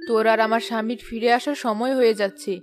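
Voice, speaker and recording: An actress playing a sensible, contented village wife in a cartoon, earnest and admonishing in manner. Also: female voice, on the high side, steady tone, fast talker, soft background music, natural voice. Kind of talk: cartoon dialogue.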